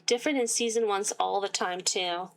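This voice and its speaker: A woman reading a visual novel aloud with sarcastic asides, her voice getting worn and hoarse. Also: mid-range voice, fairly even intonation, normal speed, rough recording. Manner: reads game text aloud and breaks off with sarcastic asides. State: losing her voice. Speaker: gaming YouTuber.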